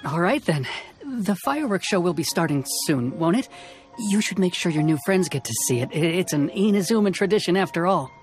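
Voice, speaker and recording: A cautious middle-aged game villager, courteous and hesitant. His pitch moves around a lot as he stumbles over words. Faint music sits behind him. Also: animated, a little fast. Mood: polite, hesitant. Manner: hesitant, stammering. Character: nervous, cautious, courteous adult male boat owner. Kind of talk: video game character acting.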